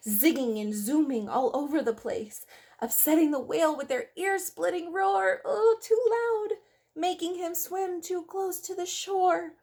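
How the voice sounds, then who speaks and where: A woman reading a picture book aloud to young children in an expressive way, with dramatic emphasis, speaking straight to her listeners. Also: fairly high pitch. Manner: addresses young listeners directly with questions.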